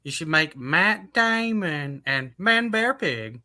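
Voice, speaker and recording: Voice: mid-range voice, expressive, takes it slowly, a crowd in the background. A co-host in a casual chat who teases and jokes with the guest. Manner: teasing, joking.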